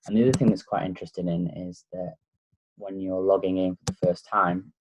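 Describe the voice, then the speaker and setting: A man with a Lancashire accent gives a relaxed, casual tech walkthrough, with frequent ums and pauses. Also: low voice, slight background noise, low-quality recording. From Blackpool, North West England. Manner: relaxed, hesitant with many fillers. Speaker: tech reviewer. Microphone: laptop microphone.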